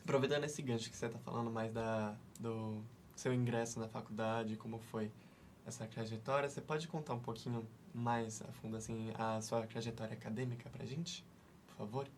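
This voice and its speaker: A woman interviewer asking structured questions politely and encouraging her guest with soft agreement sounds. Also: a bass voice, fairly even intonation, fairly quick, slight background noise.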